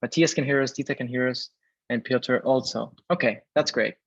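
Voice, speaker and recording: A man's voice: medium pitch, fairly quick, dry recording. Man from Venezuela, webinar host, chatty, lots of fillers, dry and dull video call sound. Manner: chatty, filler-heavy, reads chat messages aloud. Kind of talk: live webinar hosting. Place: home or office room in Berlin.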